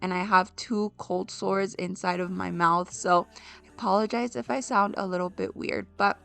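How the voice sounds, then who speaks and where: A young woman with a hoarse, raspy voice, chatting casually to her viewers in a home decor voice-over. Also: mid-range voice, steady tone, normal speed, a bit bright. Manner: chatty, addresses viewers as friends. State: hoarse from illness.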